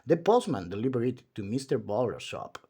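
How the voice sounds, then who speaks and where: A man reading a children's storybook aloud in an expressive, theatrical manner. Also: medium pitch, brisk, slightly rough. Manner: animated, theatrical.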